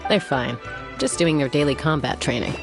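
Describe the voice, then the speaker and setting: A woman playing a composed young warrior and protective peacemaker in a video game, with a calm, measured, gently firm manner. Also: extremely low pitch, music in the background. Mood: proud, calm.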